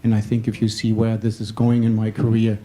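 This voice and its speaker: A man introducing himself as a panelist at a conference. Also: fairly quick. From Germany. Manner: steady, businesslike pitch. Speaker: panelist, startup executive.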